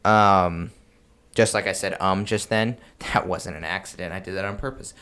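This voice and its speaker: A man with a fairly low voice hosts a podcast. He explains in a casual, conversational style, leaning on filler words and breaking off to rephrase himself. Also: fairly even intonation, normal speed, a bit bright, clear, resonant tone. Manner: informal, frequent filler words and self-interruption. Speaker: podcast host giving game master advice.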